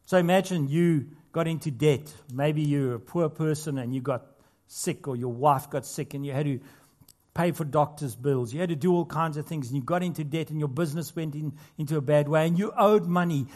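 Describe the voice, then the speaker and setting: A man's voice: a little high, moderate pace, darkish tone. A man preaching a sermon as a pastor, earnest, building to emphatic points with casual asides in between. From not Australia.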